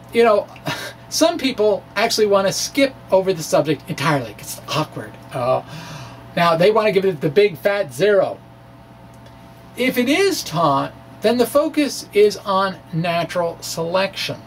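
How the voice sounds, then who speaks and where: A middle-aged man presents a science blog in a slow, halting delivery. He slips into wry, self-deprecating asides as he reads. The mic sounds some distance away, with faint noise behind him. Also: sits high. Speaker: science video blogger. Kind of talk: dramatic reading of a science blog with informal asides.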